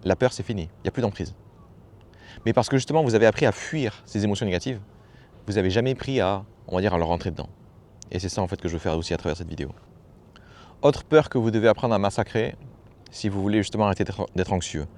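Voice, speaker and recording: A man's voice: lowish voice, fast, darkish tone. A man giving a casual motivational talk as a personal development coach, familiar and slangy, hammering points home by repeating phrases.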